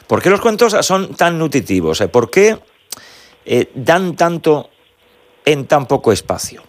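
A man's voice: fairly high pitch, big pitch swings, unhurried. A man hosting a radio interview, asking curious questions with fillers like "eh" and "oye".

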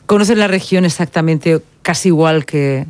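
A woman's voice: low-pitched, normal speed, a little mellow. A middle-aged woman interviewing a guest on radio, asking questions with many fillers and tag questions at a studio microphone. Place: studio at a narrow table with a chroma backdrop. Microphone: table microphone.

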